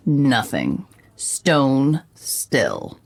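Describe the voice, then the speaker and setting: A woman playing an inquisitive reporter who narrates her case like a noir sleuth, in deliberate dramatic audio drama style. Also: very deep voice, wildly swooping pitch, creaky, exaggerated voice. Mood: deliberating.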